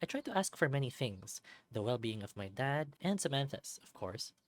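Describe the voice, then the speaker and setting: A woman's voice: a bass voice, very animated, normal speed. A woman reading a visual novel aloud as a let's-play narrator, with casual asides. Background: music.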